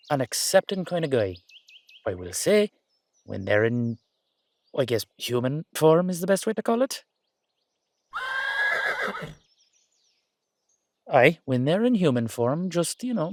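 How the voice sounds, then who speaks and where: A man's voice: fairly high pitch, sing-song, a little slow, no echo. A man playing an exasperated but affectionate Irish dullahan rider, chatting coaxingly and teasingly to his horse in a roleplay audio drama. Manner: conversational, coaxing, teasing, slangy.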